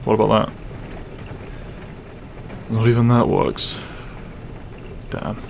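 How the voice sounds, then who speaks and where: A man playing a grumpy but secretly caring half-dragon roommate in an audio roleplay, gruff, weary and blunt. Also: fairly low pitch, steady tone, slow, darkish tone, slight background noise. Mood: softening, caring. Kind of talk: audio roleplay acting, spoken to the listener.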